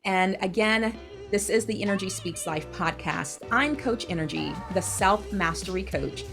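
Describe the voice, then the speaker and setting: A woman's voice: medium pitch, moderate pace, music in the background. Upbeat woman hosting a podcast. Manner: upbeat, encouraging, scripted-sounding sign-off. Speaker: podcast host, coach.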